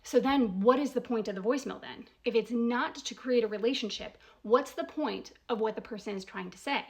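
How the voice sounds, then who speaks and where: A woman with a slightly high voice that often crackles into fry. She talks as a commentator working through an argument in casual, deliberate steps. She leans on key words, poses probing questions and tags her points with little checks for agreement. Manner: probing rhetorical questions, frequent "right?" tags. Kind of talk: casual spoken analysis.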